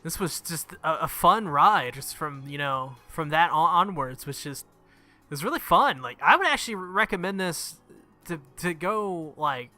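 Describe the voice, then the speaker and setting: A man's voice: a little high, animated, measured pace, pushed and bright. A man who makes gaming videos, reading visual novel text aloud and commenting casually on the game. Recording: music behind the voice. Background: game music.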